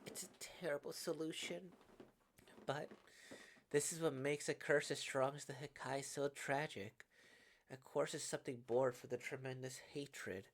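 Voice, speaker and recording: A woman's voice: rumbling low, steady tone, moderate pace. Woman acting a tragic cursed young woman, pleading. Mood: solemn, resigned. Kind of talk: dramatic visual novel read-aloud.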